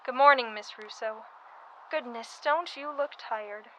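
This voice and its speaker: A woman playing a brisk, watchful hospital doctor of the 1910s in a radio play, proper and admonishing. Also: a little high, fairly bright tone, a little hiss or hum, poor audio. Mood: concerned.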